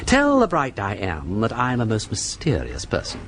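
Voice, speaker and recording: A suave aristocratic English detective from a radio play. His upper-class voice swoops extravagantly up and down, facetious and playfully pompous. The recording has loud background noise. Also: fairly low pitch, wildly swooping pitch, moderate pace, exaggerated voice. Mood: jaunty. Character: breezy, witty, aristocratic English gentleman sleuth. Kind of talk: BBC radio drama acting.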